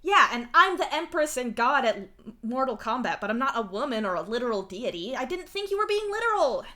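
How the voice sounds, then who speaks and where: A woman's voice: fairly high pitch, moderate pace, a bit bright, voice pushed up, lightly acted. A woman voicing an anxious, defensive troll protagonist in a story, protesting and pleading in a small voice.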